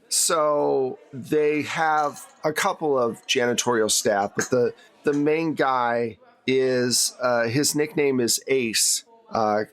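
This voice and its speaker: A man's voice: mid-range voice, a bit bright. A man narrating an improvised tabletop game as game master in a conversational, explanatory way.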